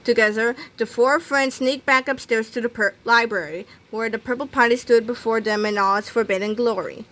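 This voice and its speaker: A woman's voice: on the high side, steady tone, normal speed, few pauses, fairly bright tone. A woman reading a children's book aloud as scripted narration. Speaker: storybook reader.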